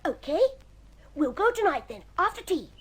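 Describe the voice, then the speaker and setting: A woman's voice: very high voice, very animated, moderate pace, a bit bright, exaggerated voice. A woman playing a cocky, scornful young boy in a radio drama, boastful and taunting.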